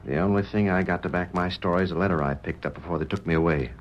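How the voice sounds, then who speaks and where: A man playing a desperate, wrongly accused prisoner who talks in a very deep voice with a rough frontier dialect. The voice comes through a dull old radio recording with a faint hiss. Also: fast, close to an everyday voice. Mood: aggrieved. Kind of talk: 1930s radio drama acting.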